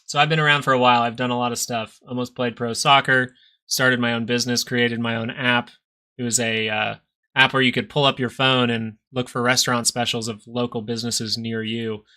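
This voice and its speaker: Bright-voiced man in his thirties hosting a stock stream. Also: mid-range voice, little pitch movement, brisk. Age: 30s. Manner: chatty, jumps between charts and personal stories, answers viewer questions. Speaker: stock market livestream host. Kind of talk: casual live market commentary with chat.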